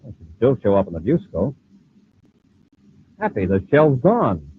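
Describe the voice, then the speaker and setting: A man playing a calm, authoritative space commander who reasons aloud and gives crisp orders, in 1950s radio adventure style. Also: lowish voice, a little slow. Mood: concerned, commanding. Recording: muffled, tinny old radio recording, noisy.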